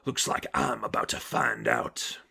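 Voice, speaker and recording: A man's voice: mid-range voice, steady tone, unhurried, creaky, bright, forceful tone, slightly rough, exaggerated voice. Huge male reptile monster, done by a male reader, snarling, sneering villain for story narration. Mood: hungry menace.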